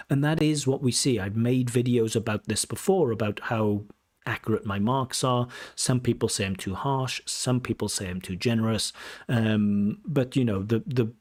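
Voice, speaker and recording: A man's voice: medium pitch, darkish tone. A man from Wales with a Welsh accent, an examiner hosting a casual livestream Q&A, talking in a chatty, self-assured way with many ums and restarts. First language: English. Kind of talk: casual livestream Q&A, reading viewer comments.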